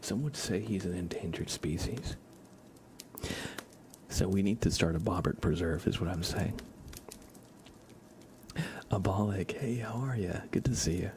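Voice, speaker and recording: A man's voice: sits low, measured pace, pauses often, darkish tone, slight background noise. A man hosting an ASMR livestream, chatting casually and often in a whisper, with playful, rambling asides to viewers. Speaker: livestream host.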